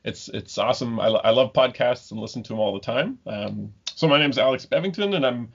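A man in his thirties from Ontario, a guest on an interview show. His voice is gravelly and a little husky, and he talks in a halting way full of ums and restarts. The sound is dull and lo-fi, like a remote call. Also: mid-range voice. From Ontario, Canada. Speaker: guest scientist. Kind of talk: casual interview talk.

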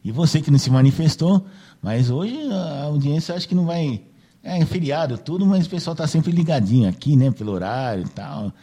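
A man's voice: a little high, expressive, a little fast, warm tone, husky. A man hosting a casual radio chat, tagging nearly every phrase with "né" to seek agreement. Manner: chatty, filler-heavy, seeks agreement with "né". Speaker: radio host.

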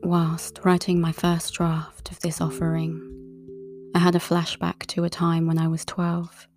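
Low flat woman, almost whispering, really slow and relaxed, guitar playing behind her. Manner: slow, calm, breathy half-whispered delivery. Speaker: tarot reader and writer. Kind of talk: soft-spoken monologue. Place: outdoors in a wooded area. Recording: clear guitar music underneath. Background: guitar music.

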